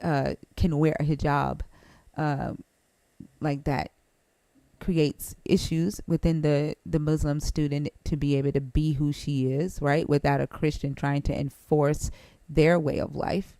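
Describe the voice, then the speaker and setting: Gravelly host pressing pointed questions. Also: medium pitch, level tone, a little slow, warm tone, no room sound. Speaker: live-stream host. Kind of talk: challenging conversational questioning.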